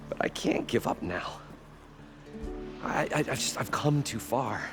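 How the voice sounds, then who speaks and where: A man's voice: mid-range voice, expressive, measured pace. An actor playing an eager inventor and showman, with dramatic, flowery storytelling in a video game. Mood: troubled, determined. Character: eager, theatrical male inventor and showman chasing treasure. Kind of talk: video game cutscene acting. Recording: music behind the voice.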